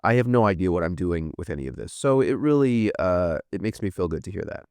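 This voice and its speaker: A man's voice: sits low, lively intonation, quick pace, darkish tone, smooth, no room sound. A man in his thirties from the United States hosting a podcast, chatting casually and rambling, swearing offhand as he reads out viewer comments. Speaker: podcast host. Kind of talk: casual livestream chat reading viewer comments.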